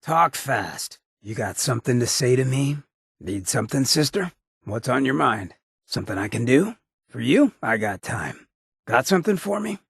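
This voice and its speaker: Streetwise ghoul rogue for a video game: ragged gravelly rasp, animated wry delivery, dry close sound, swagger throughout. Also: male voice, mid-range voice, moderate pace, no room sound, full character voice. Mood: brusque, casual. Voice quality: heavily raspy, gravelly. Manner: swaggering slangy drawl, wry and informal. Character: roguish, streetwise ghoul adventurer, irreverent, loyal to underdogs. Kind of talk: video game character acting.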